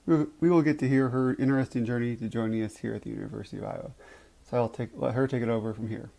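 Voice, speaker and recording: A man's voice: mid-range voice, fairly even intonation, quick pace, vocal fry, warm tone. A man hosting an interview show who often repeats words as he asks his questions. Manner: stumbling, repeats words while asking. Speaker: podcast host. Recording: dull lo-fi sound.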